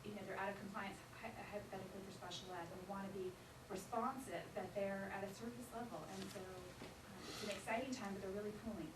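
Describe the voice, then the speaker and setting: A woman's voice: mid-range voice, fairly even intonation, fast. A woman giving an informal answer as a participant in a seminar. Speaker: seminar participant working with school districts. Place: seminar room, off camera. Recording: echoey room, distant mic, clear noise.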